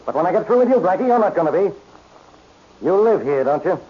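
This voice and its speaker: An actor playing a gruff, irritable police inspector, a man who barks blunt, exasperated orders in 1940s radio drama style. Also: high voice, sing-song, fast talker, full character voice. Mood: stern. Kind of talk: 1940s radio detective acting. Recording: muffled old radio recording.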